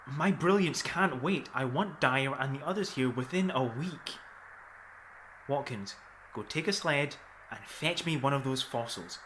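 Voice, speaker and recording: A man playing an arrogant, excitable scientist in charge of a polar expedition, pompous and imperious, in 1930s radio drama style. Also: medium pitch, a little hiss or hum, rough recording. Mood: impatient, domineering. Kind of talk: 1930s radio drama acting, dictated telegraphic reports.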